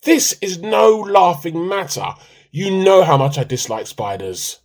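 A man's voice: high-pitched, measured pace. A man, playing a timid, comically earnest, melodramatic husband in a radio play. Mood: panicked. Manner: pompous, excitable. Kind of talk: amateur radio play dialogue.

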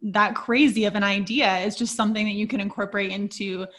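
A woman's voice: mid-range voice, steady tone, brisk, fairly bright tone. A woman hosting an interview, responding thoughtfully with fillers. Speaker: host. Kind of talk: conversational interview.

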